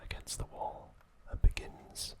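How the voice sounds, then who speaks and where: Hushed ASMR whisperer, almost entirely unvoiced. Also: a little slow. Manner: soft, unvoiced whispering throughout. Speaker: ASMR host. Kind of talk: whispered ASMR narration of episode trivia.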